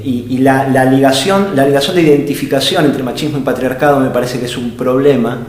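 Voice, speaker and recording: A man thinks aloud in an unscripted philosophical talk, pausing and restarting as he hunts for the right words. His voice sits at an ordinary middle pitch. The sound rings through a large reverberant hall. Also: darkish tone. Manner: hesitant, searching for words with many fillers and restarts. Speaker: speaker at an art-gallery think tank. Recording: echoey hall with long reverb.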